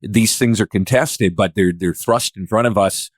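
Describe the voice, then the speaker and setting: Male podcast host, very low register, dry studio sound, conversational and a little meandering. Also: normal speed, no room sound. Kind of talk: casual discussion.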